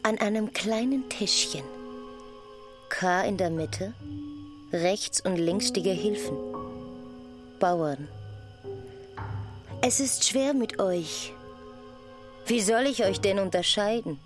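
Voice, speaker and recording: A woman playing a measured storyteller in an audio drama, speaking in short scripted fragments. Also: mid-range voice, animated. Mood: wondering, reproachful. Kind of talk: slow, fragmentary scripted narration. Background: music.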